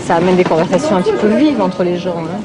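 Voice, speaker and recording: A woman's voice: lowish voice, animated, fast. A woman, a local resident, chatting easily in a street interview.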